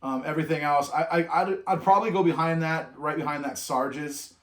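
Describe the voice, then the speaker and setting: A man's voice: fairly high pitch, steady tone, fairly quick, fairly bright tone. Rambling man in an echoey room. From California. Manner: rambling, with many restarts and trailing off. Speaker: vlog co-host. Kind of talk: reflective casual chat.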